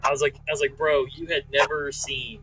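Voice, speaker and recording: A man in his late thirties chatting casually and profanely as a podcast co-host, telling stories in an animated way. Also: a little high, fairly quick, heavy background noise. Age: late 30s.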